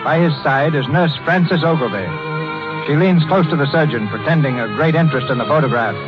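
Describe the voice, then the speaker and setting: A man's voice: fairly high pitch, brisk, lightly acted. A man narrating a 1930s radio drama in a polished, scripted style. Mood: measured, scene-setting. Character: male radio narrator setting the scene, polished. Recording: dull lo-fi 1930s radio recording. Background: music.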